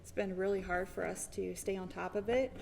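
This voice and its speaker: A woman's voice: medium pitch, steady tone, a little fast, gravelly, a little mellow, a little hiss or hum. A woman from a food pantry giving an upbeat short speech with a few fillers. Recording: reverberant hall, faint ambience.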